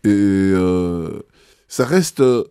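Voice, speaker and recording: A man, a bishop answering interview questions in a measured way, pausing often with fillers and restarts. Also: low-pitched, lively intonation, warm tone, clear, resonant tone, dry recording, studio quality.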